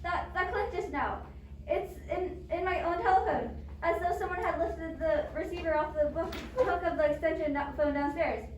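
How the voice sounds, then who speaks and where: A woman's voice: very high voice, normal speed. A woman acting a frightened, demanding, self-pitying bedridden wife in an amateur radio play, fretful and melodramatic. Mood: terrified. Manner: imperious, fretful, melodramatic pleading. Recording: roomy, distant microphone with faint noise.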